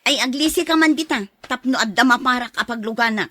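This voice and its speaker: A woman's voice: fairly high pitch, fast talker, sharp tone, no echo. A woman playing a picky, irritable single schoolteacher in a Philippine radio drama, curt and scornful. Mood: haughty, dismissive.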